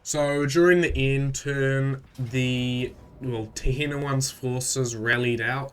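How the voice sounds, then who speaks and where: A man with a stuffy voice from a cold, hosting a casual, relaxed recap of a strategy game with frequent fillers. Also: mid-range voice, clear, resonant tone. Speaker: gaming channel host.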